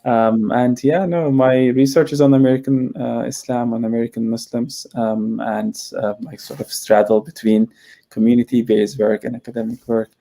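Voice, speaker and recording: A man's voice: medium pitch, steady tone, moderate pace, gravelly, warm tone. A man from Turkey, an academic and organizer, introducing himself on a panel in a humble, hesitant way with many filler words. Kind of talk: panel discussion.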